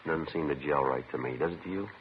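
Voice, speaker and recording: Through a tinny, noisy old radio recording comes a methodical police sergeant with a low-pitched voice. He is clipped and deadpan, giving facts like a report in a 1950s crime drama. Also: fast. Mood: skeptical, businesslike. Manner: deadpan, matter-of-fact, clipped. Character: terse, methodical male police detective sergeant. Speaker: lead actor and narrator.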